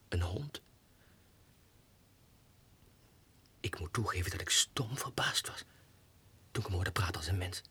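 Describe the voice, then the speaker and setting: An actor playing an unhinged, excitable low clerk who mutters haltingly to himself in a 1960s radio monologue. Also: male voice, husky, exaggerated voice. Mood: bemused muttering.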